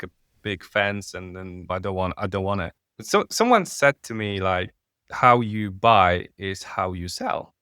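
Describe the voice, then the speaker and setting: Polish-accented man, low smooth voice. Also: fairly bright tone, no echo, studio quality. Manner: halting, searching for words. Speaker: guest. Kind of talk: podcast interview.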